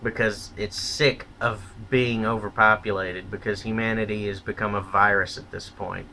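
A man's voice: lowish voice, fairly even intonation, moderate pace, gravelly, sharp tone, slight background noise, poor audio. A man delivering a blunt, profane, contemptuous opinion rant as a solo vlogger.

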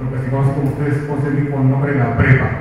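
A middle-aged Colombian-born filmmaker on a panel, telling his story in a rambling, lively way into a handheld mic. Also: mid-range voice, fast talker, slight background noise. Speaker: guest filmmaker. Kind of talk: panel talk. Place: large hall with flags. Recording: echoey hall, far, lo-fi.

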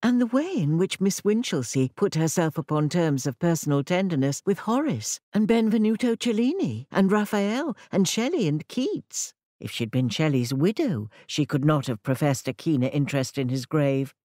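A woman's voice: low-pitched, very animated, normal speed, hardly any pauses, mellow, dry recording, clean studio sound. A woman with an English accent reading a literary audiobook as a scripted narration.

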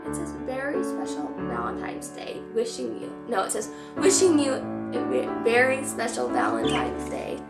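Young woman vlogger, hoarse from a cold, high and gushing, in an echoey room. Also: moderate pace, over loud music. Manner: gushing, excitable. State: hoarse, losing her voice. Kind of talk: casual chat to camera. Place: living room, on a couch.

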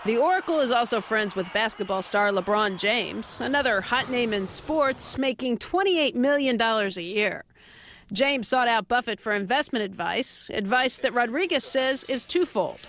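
A woman's voice: fairly high pitch, lively intonation, normal speed, fairly bright tone, a little hiss or hum. A woman reporter delivering scripted documentary narration.

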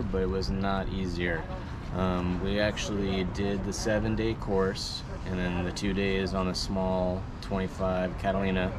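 A man in his thirties with a low voice talks loosely to the camera, filling his pauses with ums and uhs. He is outdoors on a marina dock. Steady noise sits under his voice. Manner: relaxed, with frequent ums and uhs. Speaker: vlogger. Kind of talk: casual vlog recap.

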